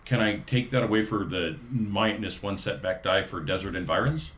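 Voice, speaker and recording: A man's voice: on the low side, animated, normal speed, fairly bright tone, a little hiss or hum. A man chatting casually as a player in a tabletop role-playing game.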